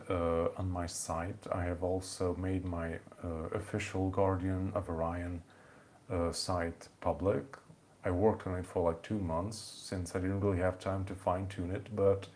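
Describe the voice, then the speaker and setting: Deep-voiced Hungarian man chatting live. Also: darkish tone. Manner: rambling, many fillers and restarts. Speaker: channel host. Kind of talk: casual live-stream chat. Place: home in the evening.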